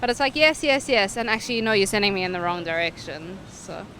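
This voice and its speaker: A woman's voice: medium pitch, animated, fast, fairly bright tone. A woman giving casual, conversational answers in a street interview. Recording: outdoor street with traffic noise.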